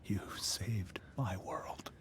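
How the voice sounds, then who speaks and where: A man playing a grave, weary middle-aged father in a video game, measured and solemn. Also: on the low side, in a whisper. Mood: grave, grateful. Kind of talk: naturalistic video game acting.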